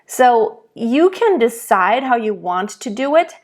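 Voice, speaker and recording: Slow-speaking vocal coach, woman. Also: fairly high pitch, very animated. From Germany. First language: German. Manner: slow, deliberate explaining with spoken demonstrations. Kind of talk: diction lesson to camera.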